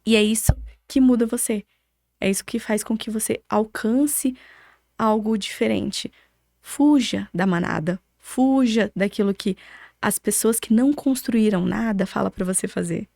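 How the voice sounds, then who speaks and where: A woman's voice: mid-range voice, a little slow, creaky, dark tone, no echo, clean studio sound. A young adult woman with a Brazilian accent answering as a podcast guest in an emphatic, motivational way, often posing rhetorical questions. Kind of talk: conversational interview answers, motivational.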